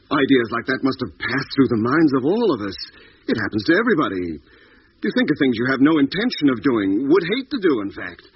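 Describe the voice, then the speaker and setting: A muffled 1950s radio recording with faint noise. A man acts as a sincere, troubled expedition doctor. His mid-pitched delivery is reflective and a little hesitant, with expressive rises and falls. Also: natural voice. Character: earnest, troubled male doctor on a lunar expedition. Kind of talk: 1950s British radio drama acting.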